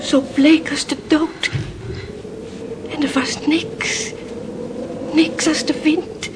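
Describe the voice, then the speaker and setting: A young woman full of dread for her sweetheart. Her high voice moves very slowly and haltingly, at times hushed. It is an old, noisy radio drama. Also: fairly even intonation. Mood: haunted dread. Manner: haunted, halting, hushed storytelling. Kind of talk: dramatic radio play acting.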